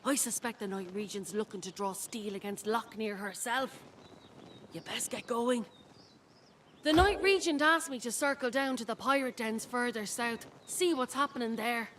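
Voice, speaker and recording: A woman playing a brisk, dutiful scout in a fantasy video game, speaking matter-of-factly. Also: fairly high pitch, fairly bright tone, slight background noise, lightly acted. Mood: businesslike, wary.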